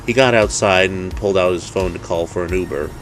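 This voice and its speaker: A man's voice: sits low, fairly quick, soft background music. A man narrating a story in a dramatic storytelling style.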